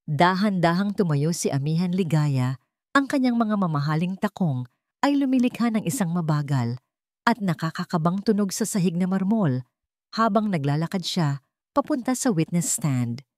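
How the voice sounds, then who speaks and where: A woman's voice: on the low side, lively intonation, normal speed, darkish tone, dry recording, clean studio sound. A woman reading a scripted story aloud as a dramatic narrator.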